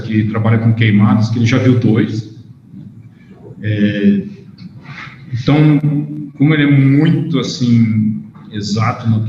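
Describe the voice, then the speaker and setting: A Brazilian man, a native Portuguese speaker, lecturing informally as a researcher with a hesitant, stop-and-start delivery. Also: mid-range voice. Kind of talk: informal lecture with questions and answers, switching between Portuguese and English. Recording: echoey hall, dull sound, faint noise.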